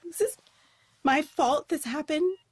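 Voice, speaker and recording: An anxious, apologetic young woman stumbles and restarts as she talks in an audio drama. Her voice is fairly high, and the recording is close and dry. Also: dry recording. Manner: halting, apologetic rambling. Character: nervous, rambling young woman friend.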